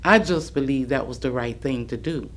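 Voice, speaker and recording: An actress performing a devout, kindly older woman's recollections in a staged reading, earnest and deliberate. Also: extremely low pitch.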